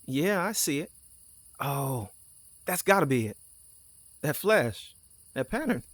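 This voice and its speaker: A man's voice: fairly high pitch, very animated, takes it slowly, a bit bright, dry recording, full character voice. A man playing an easygoing, teasing, candid crewmate on a mission in a naturalistic audio drama, talking casually. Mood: alert. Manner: casual, conversational.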